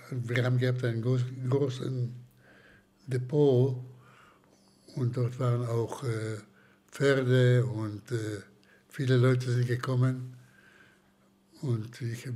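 An older man from Slovakia, giving slow, hesitant testimony in an interview with many pauses and restarts. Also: medium pitch, darkish tone. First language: German as childhood language, long unused.

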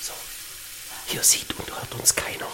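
A man's voice: measured pace, whispered, close to an everyday voice. A man playing an anxious, earnest young doctor with a southern German accent in a children's radio play, hesitant and conspiratorial. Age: young adult. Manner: hesitant, urgent, hushed at times. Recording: music behind the voice.

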